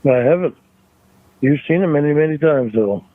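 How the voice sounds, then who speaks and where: A man on a phone call, arguing defensively in a halting, repetitive way. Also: fairly high pitch, animated, no room sound. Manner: halting, repeats himself, defensive. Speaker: phone caller. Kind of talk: argumentative private phone call. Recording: tinny, narrow phone line.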